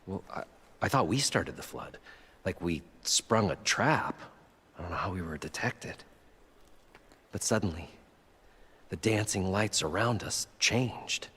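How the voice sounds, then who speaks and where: An eccentric inventor with a husky voice that swings widely in pitch. He is proud, showy and eager, a folksy game character heard in long reverb. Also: expressive, measured pace, long pauses, fairly bright tone, full character voice. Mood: wondering. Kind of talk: video game cutscene acting.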